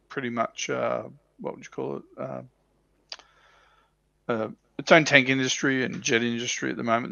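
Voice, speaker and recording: A man's voice: medium pitch, creaky, pushed and bright, no echo, lo-fi sound. A man co-hosting a casual livestream chat, thinking aloud in a hesitant way. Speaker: livestream co-host. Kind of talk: casual panel chat about tabletop wargaming.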